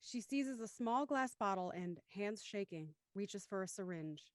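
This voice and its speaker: Female narrator, radio drama: medium pitch, measured, descriptive reading, dry sound. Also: expressive, no room sound. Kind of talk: scripted audio drama narration, reading stage directions.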